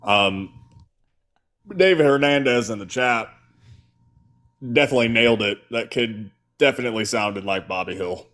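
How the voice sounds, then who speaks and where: A man's voice: lowish voice, normal speed, pauses often, fairly bright tone. A man co-hosting a casual live stream, rambling with many fillers and restarts. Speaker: co-host.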